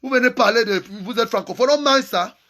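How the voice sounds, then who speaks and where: A man's voice: extremely high pitch, fairly quick, bright, forceful tone. A man giving an angry, impassioned political rant, hammering home repeated phrases. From Cameroon. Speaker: activist vlogger.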